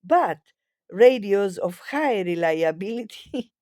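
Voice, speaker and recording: A woman narrates in a slow, measured documentary style. Her tone is soft-edged and mellow, at a middle pitch for a woman. The delivery is formal and scripted, and the sound is close and dry. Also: expressive, no echo. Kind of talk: scripted documentary narration, reading a history text.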